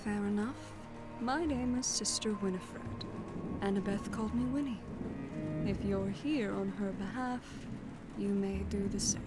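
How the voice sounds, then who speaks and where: A woman playing a stern, reserved nun with a measured, formal way of speaking in an audio drama. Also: medium pitch, natural voice. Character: stern, composed, grieving tall nun who gardens. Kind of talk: audio roleplay character acting. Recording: loud music behind.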